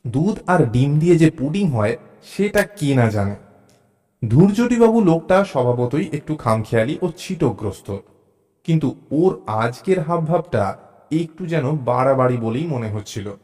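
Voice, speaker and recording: A man's voice: fairly high pitch, big pitch swings, a little slow, warm tone. A man narrating a suspense story in the first person, in a dramatised audio-story style. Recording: music behind the voice. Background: music.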